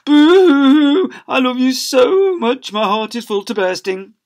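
Man playing a gushing, high-pitched doting dad. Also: animated, slow pace, darkish tone, heavily acted. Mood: gushing, tearful. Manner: syrupy, sing-song baby talk. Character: gushing, weepy doting father teacher, saccharine baby talk.

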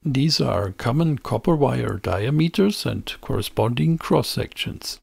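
A man narrates a technical tutorial in a measured, explanatory way. His delivery is slow, with a lively, moving pitch and a noticeably husky tone. The voice-over is scripted and closely miked. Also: dry recording, clean studio sound. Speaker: presenter of an electronics tutorial channel.

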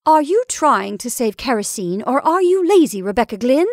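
A woman's voice: a little high, very animated, moderate pace, no room sound, studio quality, voice pushed up. Woman playing an impatient, peremptory scolding woman. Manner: insistent, scolding. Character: impatient, peremptory married woman busy with sewing.

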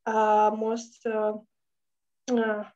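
A woman's voice: fairly high pitch, monotone, extremely slow, a little mellow. A woman in her thirties with a Russian accent, answering informally and searching for words on a video call. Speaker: scientist, guest speaker. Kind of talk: informal answer to audience question. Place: small indoor room, video call. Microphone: computer mic on video call. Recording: dull video call audio.

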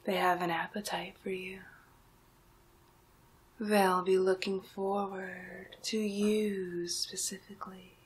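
Very slow, breathy whispering young woman. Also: medium pitch, level tone. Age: young adult. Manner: slow, hypnotic, drawn-out phrasing. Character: magical enchantress turning listener into Halloween candy. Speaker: ASMR roleplay performer. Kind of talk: ASMR roleplay, whispered.

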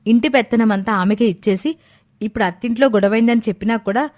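A woman's voice: fairly high pitch, quick pace, a little mellow, close to an everyday voice. A woman voicing a dutiful, outspoken young daughter-in-law in a family story, earnest and insistent. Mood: indignant.

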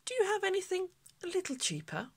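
A woman voicing a polite young girl in a picture book read-aloud, raising her voice high for the part. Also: huge pitch swings, quick pace, heavily acted. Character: young girl shopping for a hat.

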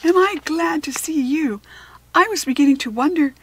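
A woman's voice: sits high, normal speed, pitched above the performer's own voice, lightly acted, poor audio. A woman voicing an earnest, caring, anxious young farm girl in a children's storybook, speaking emphatically. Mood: relieved, excited. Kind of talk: children's storybook character reading.

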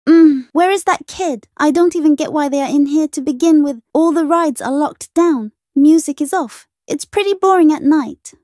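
A woman's voice: high-pitched, normal speed, few pauses, warm tone, no room sound, clean studio sound, close to an everyday voice. A woman voicing a falsely sweet, short-tempered chicken animatronic in a cartoon comic dub. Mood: grumbling. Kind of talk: comic dub character acting.

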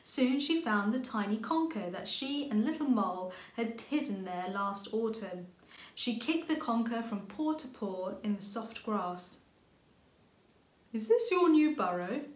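A woman's voice: mid-range voice, expressive, unhurried. A middle-aged woman, a children's author, reading a storybook aloud as scripted narration indoors. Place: indoors, small room with bookshelves. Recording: echoey room sound.

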